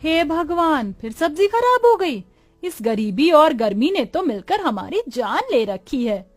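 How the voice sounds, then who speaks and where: Woman playing a fretful older mother-in-law, high voice. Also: very animated, measured pace, no room sound. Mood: lamenting. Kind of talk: cartoon moral story acting.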